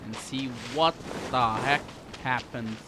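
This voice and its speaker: A woman's voice: rumbling low, slow pace, very noisy. A woman giving live gameplay commentary, talking back to the characters in an encouraging way. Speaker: gaming video host. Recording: game music behind the voice. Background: video game music.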